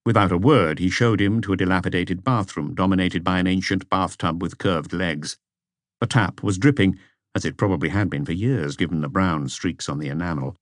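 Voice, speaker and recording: A man's voice: sits low, brisk, no echo, studio quality. A man reading a mystery novel as scripted audiobook narration.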